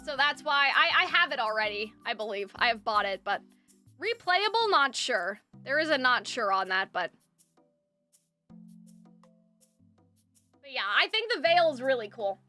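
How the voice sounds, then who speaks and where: A woman's voice: high-pitched, big pitch swings, unhurried, pauses often, bright, forceful tone, faint music behind. A young adult woman streamer in casual chat, rambling with fillers and restarts, her voice dry from lack of water.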